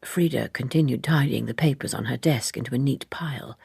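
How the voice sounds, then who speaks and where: A woman reading literary narration as an audiobook narrator, measured and precise. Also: extremely low pitch, vocal fry, a little mellow, slightly rough, no room sound.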